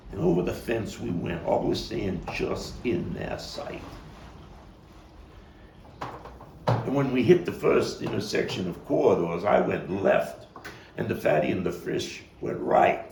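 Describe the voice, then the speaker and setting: A man performing a dramatic stage monologue as a vengeful young gang member, profane and intense, halting and repeating his words. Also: lowish voice, sing-song, vocal fry, heavily acted. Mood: brooding, tense. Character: volatile, vengeful male street gang youth recounting a bloody fight. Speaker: actor and playwright performing his own monologue. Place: echoey room, table read. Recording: echoey, noisy room, distant mic.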